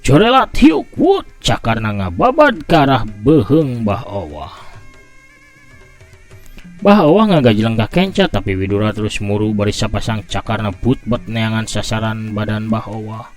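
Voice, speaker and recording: A man from West Java telling a martial arts story slowly, with vivid sound effects. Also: lowish voice, sing-song, soft background music. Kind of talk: scripted storybook narration.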